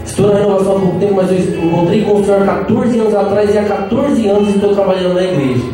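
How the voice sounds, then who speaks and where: A Brazilian lay preacher in his thirties delivers a lively sermon, joking with the crowd and calling out for their response. His voice sits high and comes from a distance. A big echo rings through the hall, with music playing behind him. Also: racing. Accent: Brazilian Portuguese. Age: 30s. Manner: lively, playful, calls out to the crowd. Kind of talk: live charismatic sermon. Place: large echoey prayer-group hall, live event.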